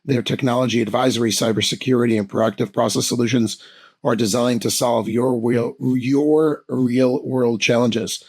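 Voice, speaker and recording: A male podcast host whose voice is raspy and husky. He talks in an enthusiastic, affirming way at a middling pitch. He sounds like a casual interviewer. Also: steady tone, moderate pace, darkish tone.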